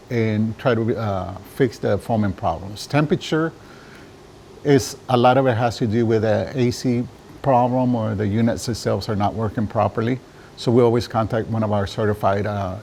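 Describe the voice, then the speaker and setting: Halting, low-voiced man in casual interview. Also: darkish tone, a little hiss or hum. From Juarez, Mexico. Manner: halting, searching for words. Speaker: interviewed draft beer system installer and business owner.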